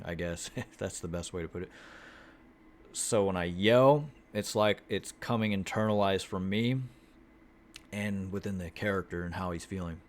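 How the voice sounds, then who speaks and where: A man telling his vlog viewers about an acting class, talking in a flat monotone, swearing freely and restarting his sentences often. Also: low-pitched. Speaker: acting student vlogger. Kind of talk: casual chat. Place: Houston, Texas.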